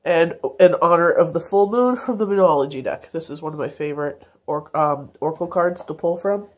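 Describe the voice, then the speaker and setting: A woman's voice: deep voice, a little fast. A congested woman giving a casual daily tarot reading, meandering with many fillers and self-corrections. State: congested, stuffy nose. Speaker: tarot reader.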